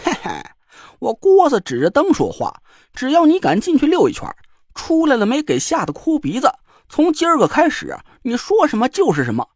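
A man's voice: very high-pitched, huge pitch swings, normal speed, no echo, clean studio sound, pitched above the performer's own voice, full character voice. A man voicing a cocky, teasing middle-aged man with a bantering, mock-boastful drawl.

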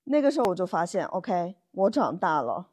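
A woman's voice: on the low side, big pitch swings, normal speed, darkish tone, husky. A woman co-hosting a podcast, telling stories casually with colloquial asides and rhetorical questions. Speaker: podcast co-host. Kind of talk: casual conversational storytelling.

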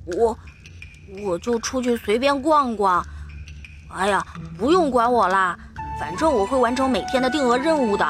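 A woman's voice: on the high side, expressive, moderate pace, soft background music, lightly acted. A woman playing a rebellious, cocky young male tiger-demon cub in an audio drama, whiny and defiant. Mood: defiant, sulky.

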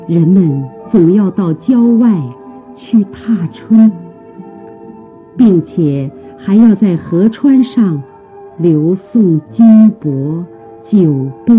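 Very slow woman narrator, muffled recording. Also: on the low side. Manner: measured, storytelling, deliberate phrasing. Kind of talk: scripted radio drama narration. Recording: muffled phone-like old radio recording.

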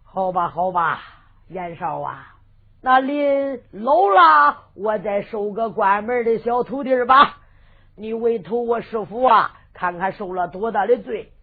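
A woman's voice: medium pitch, lively intonation, unhurried, husky. Brief: kindly old monk master, put on by a woman, sing-song, tinny lo-fi sound. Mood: gentle, relenting. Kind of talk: folk storytelling performance. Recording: lo-fi phone-like sound with faint music.

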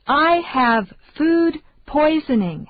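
A woman reading English phrases slowly and deliberately for learners to repeat. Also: sits high, expressive. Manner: slow, deliberate, carefully enunciated. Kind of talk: slow repeat-after-me drill. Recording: dry, tinny phone-like sound.

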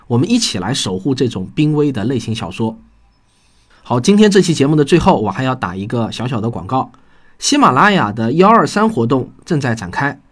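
Male podcast host, lively intonation, earnest, explanatory, scripted talk to listeners. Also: medium pitch. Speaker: podcast host and science fiction writer.

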